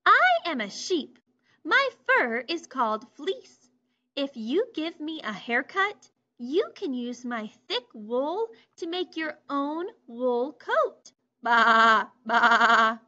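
A woman reading aloud as a friendly woolly sheep, ending with a loud bleat. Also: sits high, big pitch swings, takes it slowly, heavily acted. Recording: muffled lo-fi recording.

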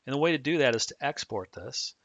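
A man calmly explaining software setup step by step in a screen-recorded tutorial. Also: medium pitch, fast, dry recording. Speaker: software trainer. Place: at a computer, voice-over on a screen recording. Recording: dull dry mic audio.

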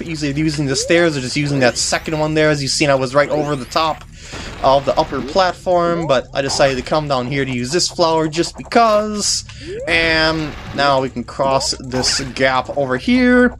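A woman's voice: low voice, slight background noise. A woman giving gameplay commentary as she plays, with joking, sarcastic asides. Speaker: video game walkthrough commentator. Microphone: compact camera microphone. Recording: game music behind voice.

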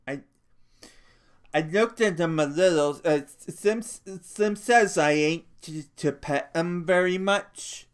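A man's voice: on the high side, clear, resonant tone, pitched above the performer's own voice. A man doing a big timid childlike farmhand. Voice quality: stammering, halting. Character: big slow-witted childlike ranch hand, timid and eager.

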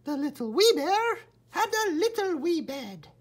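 A man voicing a tiny, childlike little bear from a storybook in a squeaky, singsong voice. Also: big pitch swings, unhurried, heavily acted. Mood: cute. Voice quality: squeaky, very high-pitched.

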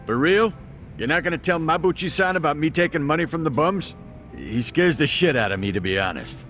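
Lecherous, cowardly lowlife for a video game, animated intonation, crass street talk. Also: male voice, brisk. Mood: nervous. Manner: slangy, crass. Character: crude, lecherous low-level thug, cowardly underneath. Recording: faint music behind.

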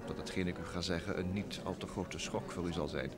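An actor playing a smooth, talkative young private secretary and blackmailer, theatrically polite, in vintage radio drama style. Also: male voice, sits low, fairly even intonation, a little fast, natural voice. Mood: slyly calculating. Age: young adult. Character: smooth, sly thirty-year-old New York private secretary, a blackmailer. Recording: old radio play recording with music.

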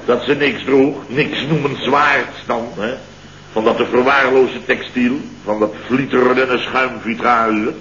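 An actor playing a flustered, indignant man who tells a farcical tale of woe in halting, repetitive bursts, in a radio comedy. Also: medium pitch, fairly even intonation, a bit bright. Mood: embarrassed. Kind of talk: comic radio play dialogue. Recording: dull, noisy early-1970s radio recording.

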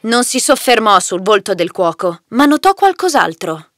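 A woman's voice: medium pitch, sing-song, moderate pace, no room sound, clean studio sound. A woman reading a scripted children's fairy tale as its narrator. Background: loud background music.

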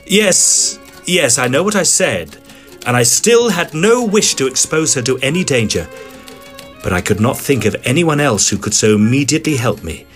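A man playing an excitable Edwardian gentleman ghost hunter, telling his story in formal, theatrical audio drama style. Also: mid-range voice, normal speed, faint music behind, natural voice. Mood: earnest. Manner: formal, old-fashioned, dramatic phrasing. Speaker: narrator and lead character.

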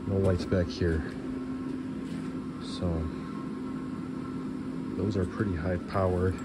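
A man's voice: low-pitched, slow pace, very noisy. A man casually narrating his truck repair as he works in a large garage workshop, thinking aloud on a body camera. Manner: relaxed, thinking aloud while working. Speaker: vlogger restoring an old truck. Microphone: handheld or body camera.

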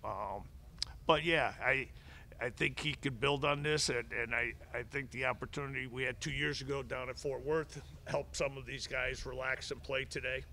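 Gravelly bright-voiced middle-aged man. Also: medium pitch, steady tone, slight background noise. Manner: frequent restarts and repeated words, measured. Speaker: head baseball coach. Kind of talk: postgame press conference answers. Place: press conference room. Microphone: table mic in front of him.

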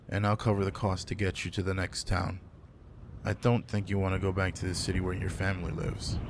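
A man playing a gruff but caring werewolf pack alpha in an intimate roleplay audio drama, speaking in a low-key, casual, matter-of-fact way. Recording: noticeable background noise.

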